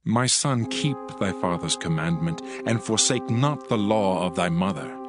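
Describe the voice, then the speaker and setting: A man with a fairly low voice delivers scripture as solemn fatherly counsel. His manner is admonishing, and music is clearly audible beneath the reading. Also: expressive, unhurried. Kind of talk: dramatised scripture reading in archaic English.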